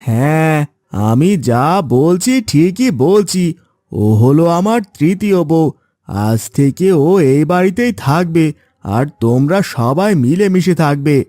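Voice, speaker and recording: Guy doing a fed up village farmer husband for a cartoon, highish voice, really swingy, dramatic and whiny complaining. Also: lively intonation, takes it slowly, mellow, no room sound. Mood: firm, insistent. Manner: complaining, theatrical, emphatic. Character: exasperated village farmer husband with quarrelling wives. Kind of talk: cartoon fairy-tale voice acting.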